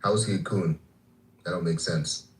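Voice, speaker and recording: A man's voice: sits low, fairly even intonation, normal speed, echoey room, rough recording. A man from Africa in a heated group voice chat, talking brashly and profanely and taunting others. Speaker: voice chat participant.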